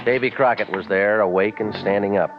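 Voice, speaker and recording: A man acts out a cynical private eye in a vintage radio play. He speaks low, in dry, wisecracking, clipped phrases. The recording is dull, with music clearly behind it. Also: a little fast, close to an everyday voice. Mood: wry, narrating. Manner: deadpan, terse, sardonic. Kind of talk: 1940s radio drama, first-person narration and dialogue.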